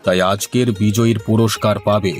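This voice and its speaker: একজন পুরুষের অভিনয়ে গম্ভীর নিচু গলার গ্রামের মোড়ল. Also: male voice, lowish voice, little pitch movement, normal speed, darkish tone, soft background music, lightly acted. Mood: delighted. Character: authoritative village headman who loves good food. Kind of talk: Bengali moral-story cartoon acting.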